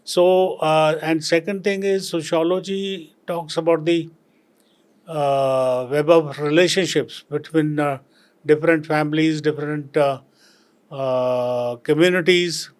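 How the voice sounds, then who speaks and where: An older man from Punjab with an Indian accent, a retired civil servant answering interview questions slowly and reflectively, with many pauses and fillers. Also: fairly high pitch, steady tone, clear, resonant tone.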